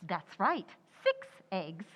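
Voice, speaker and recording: A woman's voice: medium pitch, wildly swooping pitch, takes it slowly, fairly bright tone, exaggerated voice. A woman playing a stubborn, overconfident housewife who cannot cook, in a theatrical old-time radio sitcom style. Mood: breezy bluffing. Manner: theatrical, breezy, bluffing. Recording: echoey hall, dull muffled sound.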